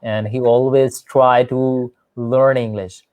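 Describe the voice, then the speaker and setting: A man's voice: medium pitch, flat delivery, slow pace, no room sound, narrow, tinny audio. A man from Pakistan, an English teacher, talking earnestly in a casual conversation and often restarting with "I mean". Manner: earnest, repeats himself with "I mean".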